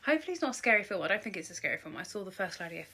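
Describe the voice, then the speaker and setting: A woman's voice: medium pitch, very animated, very fast, pushed and bright. Young British woman with a stuffy cold. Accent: British English. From England. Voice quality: slightly nasal, bunged up. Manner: chatty, rambling, many "um" and "like". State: blocked nose from a cold. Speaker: vlogger. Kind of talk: casual haul vlog talk to camera.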